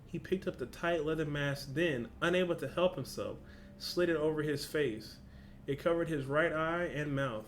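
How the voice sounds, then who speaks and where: A man speaking informally and with slang, as a reaction host who reads a story aloud and comments casually. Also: a little high. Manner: informal, slangy, swearing, exclamatory asides. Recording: clear background noise.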